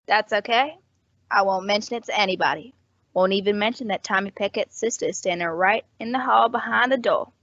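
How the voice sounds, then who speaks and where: Woman doing a brash sly landlady, forceful edgy voice, old radio play, slightly muffled. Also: mid-range voice, fairly quick, no echo. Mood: sly, insinuating. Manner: brash, slangy, insinuating. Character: sly, grasping, cop-hating rooming-house landlady. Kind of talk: old-time radio drama acting. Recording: dull, dry lo-fi audio.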